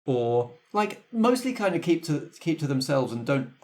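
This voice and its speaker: A man's voice: a little high, big pitch swings, moderate pace, a little mellow. A man acting as game master, casually explaining the game and thinking aloud as he goes. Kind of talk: casual tabletop game facilitation, scripted credits at the end.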